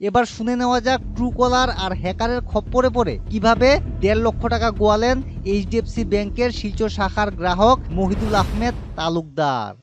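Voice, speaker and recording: A man's voice: high voice, fairly quick. A man reading a scripted news report as a reporter. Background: music bed.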